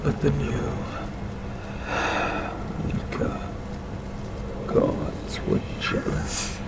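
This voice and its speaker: A man voicing an ancient, sinister eldritch god in an ASMR roleplay, telling a story in a breathy, creaky voice that often slips into a whisper, with deliberate menace and long pauses. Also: mid-range voice, level tone, very noisy. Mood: darkly proud.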